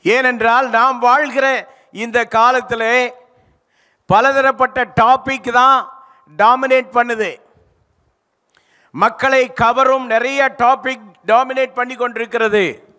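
A man's voice: extremely high pitch, fairly even intonation, takes it slowly, long pauses, sharp tone. Older man preaching in a reverberant hall. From South India. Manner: emphatic preaching, stretching key words, calls for response. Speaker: pastor. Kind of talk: sermon. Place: large church stage. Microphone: handheld mic. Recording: live church hall reverb.

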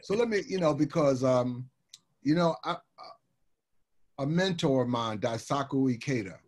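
A man's voice: mid-range voice, expressive, unhurried, a little mellow. Older man telling stories on a video call. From Birmingham, Alabama. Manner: easygoing, rambling storyteller with lots of "you know". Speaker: guest. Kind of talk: casual interview storytelling. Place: home room with wood shutters and framed pictures.

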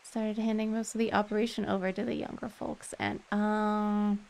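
A woman's voice: mid-range voice, level tone, normal speed, vocal fry, darkish tone, slight background noise. A young woman chatting casually and gigglily with viewers as she streams a game on a close microphone. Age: young adult. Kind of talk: casual livestream gameplay chat. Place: indoor room, streaming setup. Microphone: boom-arm microphone close up. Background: faint game music.

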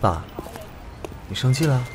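A man's voice: mid-range voice, big pitch swings, a little slow, noisy background. A man playing a bold, articulate young police detective who is a little flippant, in an audio drama. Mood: casual, defiant. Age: young adult. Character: bold young male police detective, cheeky with his father. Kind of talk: Chinese audio drama acting.

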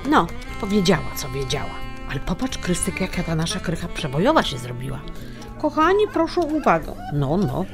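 A querulous old grandmother in a radio play. Her lowish voice swings widely as she grumbles in a folksy way, with music playing behind. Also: big pitch swings, a little fast. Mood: grumbling, gossipy. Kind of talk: audio drama acting.

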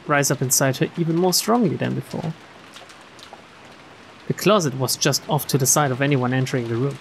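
A man reading a visual novel aloud as a streamer, with expressive narration and casual asides. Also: a little high, a little hiss or hum, poor audio.

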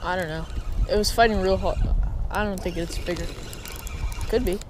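A young man chatting casually and excitedly as he fishes, in slangy, exclamatory speech, recorded outdoors on an action camera. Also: sits high, normal speed, background noise. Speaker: fishing vlogger. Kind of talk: casual excited chat while fishing. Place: outdoors on a rocky reservoir shore. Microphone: body-worn action camera.

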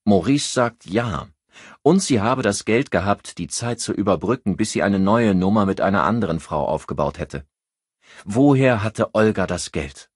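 Man reading an insistent, irritable police inspector, husky. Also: lowish voice, animated, moderate pace, no echo, studio quality. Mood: probing. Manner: insistent, sharp. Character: irritable male police inspector pressing for answers.

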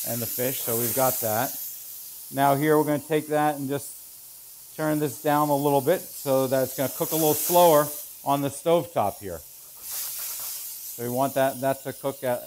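A man's voice: mid-range voice, slight background noise, rough recording. Chef explaining as he cooks. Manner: relaxed, explanatory, thinking aloud while working. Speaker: chef instructor. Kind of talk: cooking demonstration. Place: large professional teaching kitchen, indoors. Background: food sizzling in a pan.

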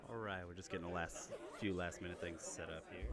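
A deep-voiced man casually hosts a tabletop game livestream, rambling easily and chuckling between points. He speaks into a directional mic a few feet from the table, and the chatter of a busy game store can be heard behind him. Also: a little fast. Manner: relaxed, rambling, chuckling asides. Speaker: stream host and commentator. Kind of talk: casual stream commentary. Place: game store play area. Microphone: directional mic about five feet from the game table. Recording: voice over store crowd chatter.

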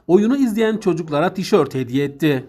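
A man's voice: on the high side, animated, a little fast, heavy background noise. A man reading a scripted news voice-over. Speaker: news narrator.

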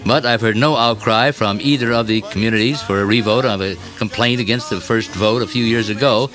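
A man's voice: lowish voice, brisk, fairly bright tone, faint music behind. A man working as a radio news reporter, reading a scripted editorial in an emphatic, opinionated way.

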